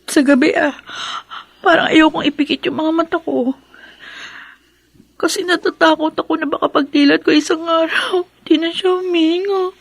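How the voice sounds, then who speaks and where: A young daughter in a radio drama, with a very high voice and a halting, pleading manner. She sounds anxious and emotional, recorded close and dry. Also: steady tone, creaky, no echo. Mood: grieving, fearful. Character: anxious young daughter fearing her sick mother's death. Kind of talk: Tagalog radio drama acting.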